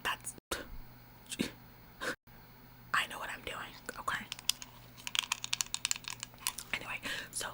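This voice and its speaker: A woman's voice: takes it slowly, long pauses, close to an everyday voice. A woman playing a bossy, self-important young retail employee in an ASMR roleplay, earnest and stammering over repeated words. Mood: bossy, self-assured.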